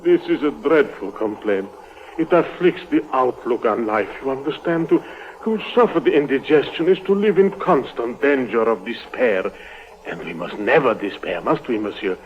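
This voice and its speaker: Actor as fussy traveler, old muffled radio. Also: male voice, mid-range voice, expressive, normal speed, close to an everyday voice. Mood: solemn, mournful. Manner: formal, quaintly worded, insistent. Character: fussy, persistent, gloomily philosophical little Greek train passenger. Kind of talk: old-time radio drama acting. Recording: dull, noisy old radio recording.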